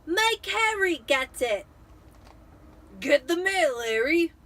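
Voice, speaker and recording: A woman voicing a bullying, blustering middle-aged uncle in a dramatic storybook reading, with a shouting, sneering manner. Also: very high voice, big pitch swings, slow pace, pitched above the performer's own voice, exaggerated voice. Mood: barking orders.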